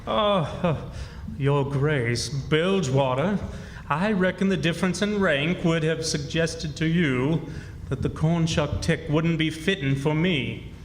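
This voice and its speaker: A man's voice: fairly high pitch, slow pace, slight background noise. A man playing a domineering old swindler who poses as a king, folksy and mock-regal, in a stage radio drama. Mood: haughty. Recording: echoey hall stage performance, music behind.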